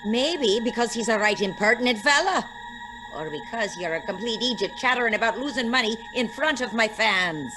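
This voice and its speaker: A woman's voice: on the high side, expressive, moderate pace, music in the background, natural voice, low-quality recording. A woman playing a grumpy Irish singing star with a blunt, scathing, sarcastic manner. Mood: scornful, bored.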